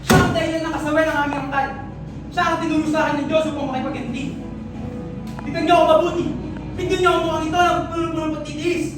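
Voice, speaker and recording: A woman's voice: high voice, steady tone, quick pace, over music, lightly acted. A female student playing an urgent, embittered rebel in a stage play. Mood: bitter, impassioned. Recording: echoey hall, far mic, loud noise.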